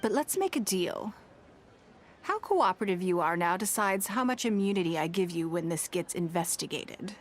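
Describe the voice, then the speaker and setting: A woman's voice: lowish voice, expressive, moderate pace, slight background noise, lightly acted. An actress playing a shrewd, poised noblewoman in a video game, composed and authoritative. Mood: cool, threatening. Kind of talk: scripted video game dialogue.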